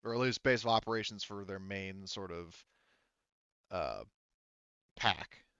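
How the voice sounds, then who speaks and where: A man's voice: low voice, unhurried, bright, forceful tone, clean tone, dry recording, muffled recording. A man narrating a tabletop game as game master, explaining casually and conversationally with lots of "you know" and "like". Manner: hedging, conversational, heavy use of "you know" and "like".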